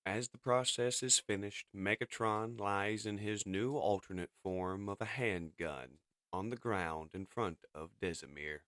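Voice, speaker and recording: A man with a fairly low voice reads and reviews comics. He moves between dramatic narration and casual commentary, recorded dry without room sound. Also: a little slow, fairly bright tone, no room sound.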